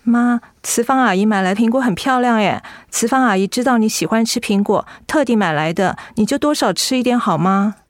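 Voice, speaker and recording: An actress playing a caring, anxious young daughter in a radio drama, speaking earnestly and solicitously. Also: female voice, a little high, fairly quick, hardly any pauses, no room sound, clean studio sound, lightly acted. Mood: coaxing, concerned. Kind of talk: Mandarin radio drama acting.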